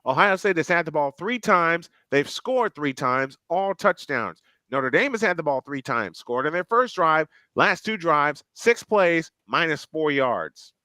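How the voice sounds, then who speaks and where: A man calling a football game play by play, getting excitable and jumping up on big plays, and trading banter with co-hosts. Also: on the high side, unhurried, few pauses, no echo. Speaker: play-by-play commentator. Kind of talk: live game commentary with banter.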